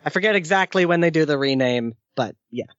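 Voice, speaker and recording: A man's voice: on the high side, animated, a little fast, a bit bright, no echo. A man co-hosting a podcast in casual chat, dry and teasing, bluntly correcting facts. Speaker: podcast co-host. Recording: dry dull lo-fi podcast audio.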